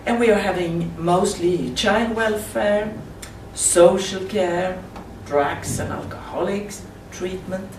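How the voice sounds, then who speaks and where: A Swedish woman with a Swedish accent, speaking halting non-native English in a slide presentation at a conference. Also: lowish voice. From Sweden. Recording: roomy, distant mic with faint hiss.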